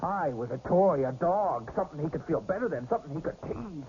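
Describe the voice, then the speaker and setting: Radio drama hothead, male actor: resentful man, agitated and defiant, slightly high but mellow voice, muffled old tape. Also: expressive, fairly quick, slight background noise, natural voice. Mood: bitter. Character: resentful, volatile man with a newfound psychic gift. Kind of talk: 1980s radio drama acting.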